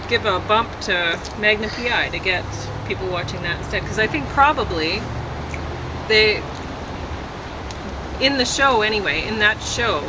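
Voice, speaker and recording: A woman in casual, unscripted chat, speaking hesitantly and meandering with pauses. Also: medium pitch. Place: outdoors on a deck. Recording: loud outdoor ambience and traffic noise.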